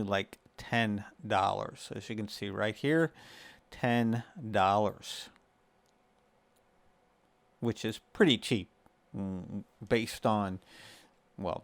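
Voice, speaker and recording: A man with a smooth, clear voice narrates a casual gaming tutorial. He speaks very slowly and explains things in measured phrases. The sound is dry and close, with no room to it. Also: fairly low pitch, dry recording. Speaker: host of a gaming guide video series.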